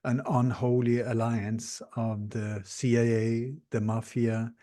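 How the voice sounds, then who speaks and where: A man in his sixties, born in Denmark and raised in Sweden, speaking English in a podcast interview, explaining things earnestly and steadily with frequent pauses. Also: medium pitch, level tone, mellow, dry recording, studio quality. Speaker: interview guest, researcher.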